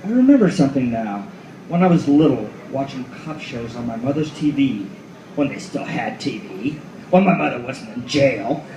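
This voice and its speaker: A man playing a cynical, foul-mouthed noir detective at the end of the world, in a theatrical radio-play style with drawn-out delivery. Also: medium pitch, lively intonation, noisy background, close to an everyday voice. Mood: bitter reminiscing. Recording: echoey room, far from mic, music behind.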